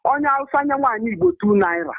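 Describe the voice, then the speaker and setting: A man's voice: very high voice, lively intonation, fast, dry recording. A male caller, making an emphatic, impassioned comment on a call-in show. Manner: emphatic, repeats words. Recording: tinny phone line.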